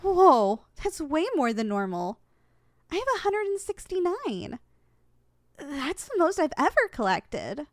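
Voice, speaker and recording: High-pitched, animated young succubus girl, played by a woman. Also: a little slow, no echo, studio quality. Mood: amazed. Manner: sweet, coaxing, easily flustered. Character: young female slime succubus in training, affectionate, competitive. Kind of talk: ASMR roleplay acting.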